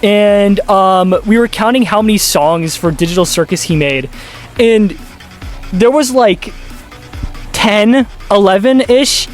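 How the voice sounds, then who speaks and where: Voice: mid-range voice, slow, faint music behind. A video commentator talking casually in an exasperated, sarcastic way and swearing freely. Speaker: YouTube video essayist. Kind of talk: casual commentary with scripted narration.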